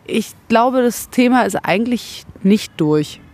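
A woman's voice: a little high, expressive, measured pace, a little mellow. A woman from West Berlin speaking as an interview guest, reflective and opinionated, often searching for words in casual conversation. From West Berlin, Germany. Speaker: interview guest, singer-songwriter.

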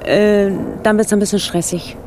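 A woman's voice: medium pitch, fairly even intonation, normal speed, noisy background. A middle-aged Swedish woman speaking German with a Swedish accent, a relocation consultant answering radio interview questions hesitantly, with many fillers and small grammar slips. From Sweden.

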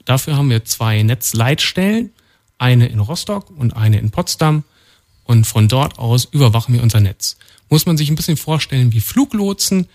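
A man from North Rhine-Westphalia, a department head being interviewed in a radio studio, explaining things in a calm, matter-of-fact way. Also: medium pitch, normal speed. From North Rhine-Westphalia, Germany.

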